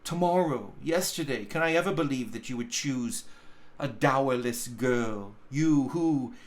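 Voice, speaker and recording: A gentle young woman from a storybook, read by a man, full of sorrow and quiet resolve. The delivery is very slow and grave, with halting, deliberate phrases. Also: mid-range voice, lightly acted. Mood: resigned sorrow. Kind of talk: dramatic storybook reading.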